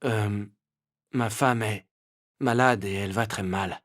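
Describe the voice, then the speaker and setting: A man playing an anxious young farmer and husband, hesitant and imploring, with a husky voice, in a children's story. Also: on the low side, fairly even intonation, slow, fairly bright tone. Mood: hesitant, worried.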